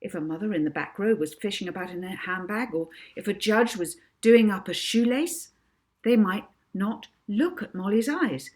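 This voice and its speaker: A woman reading a children's book aloud as an expressive narrator. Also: mid-range voice, normal speed. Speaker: author reading own book.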